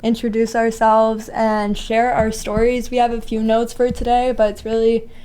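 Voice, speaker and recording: A woman's voice: fairly high pitch, completely flat delivery, moderate pace, warm tone, smooth. A young woman from Virginia in her twenties, casually introducing a group chat, loose and friendly with many fillers. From Virginia, USA. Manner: friendly, loose, full of likes and ums. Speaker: ministry intern leading the chat.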